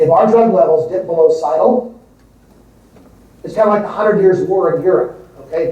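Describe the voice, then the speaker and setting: A man's voice: high voice, warm tone. A male physician giving a conference lecture to a large audience, emphatic and conversational, repeating words for stress. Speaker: physician presenter. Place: large hall before an audience. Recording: echoey hall from far off.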